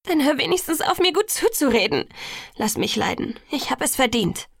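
An anguished young woman in an audiobook, as a woman reader plays her, racked with guilt. Her voice lifts into pleading or sinks to a murmur. It is breathy, with a creaky edge. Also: on the high side, lively intonation, moderate pace, dry recording, clean studio sound. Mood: pleading, desperate. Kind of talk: audiobook dialogue.

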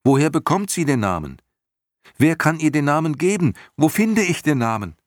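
A man voicing a desperate young boy hero in an audiobook, urgent, insistent and loudly pleading. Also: medium pitch, normal speed, slightly rough, no room sound, clean studio sound, voice pushed up, lightly acted.

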